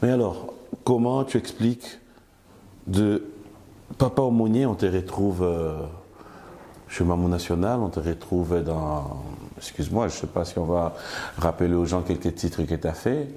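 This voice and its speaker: A man's voice: deep voice, moderate pace, mellow. A man, hosting an interview in a playful, teasing way. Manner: playful, joking, laughs often. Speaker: interview host.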